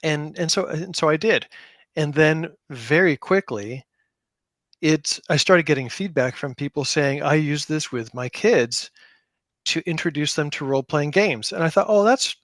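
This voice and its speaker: A man's voice: a little high, unhurried, fairly bright tone, dry recording, clean studio sound. A middle-aged man telling anecdotes casually as an interview guest, repeating words for emphasis. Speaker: podcast guest, game creator; high school teacher.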